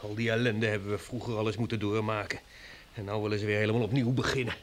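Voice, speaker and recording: An actor playing a weary, bitter, sceptical survivor in a Dutch radio drama, with a husky voice. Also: male voice, fairly low pitch, little pitch movement, fast talker, close to an everyday voice. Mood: weary, dismayed.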